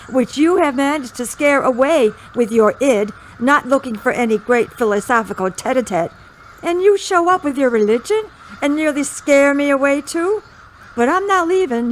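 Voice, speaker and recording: Crows and background noise surround an actress as an indignant elderly woman in an audio drama. Her voice is high and her manner curt and crotchety. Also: rough recording.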